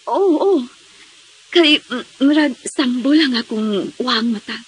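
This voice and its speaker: A very high-voiced, anxious mother in a Filipino radio drama. She speaks with a Visayan accent in a fretful, emotive, evasive way, like someone guarding a secret. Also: expressive, slow pace, slightly rough, exaggerated voice, poor audio. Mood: flustered.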